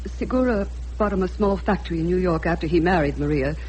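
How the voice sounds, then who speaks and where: A woman's voice: lowish voice, fast, darkish tone, close to an everyday voice. Radio play actress: distraught middle-aged mother, pleading and urgent, stammering with fear, slightly noisy recording. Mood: anxious, pleading. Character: anxious, pleading mother of a missing man. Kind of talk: radio drama acting.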